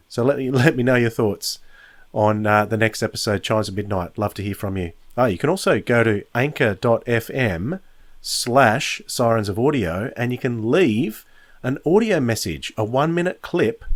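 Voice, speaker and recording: A man hosting a review podcast alone, talking casually like an enthusiastic fan. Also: medium pitch. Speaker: podcast host.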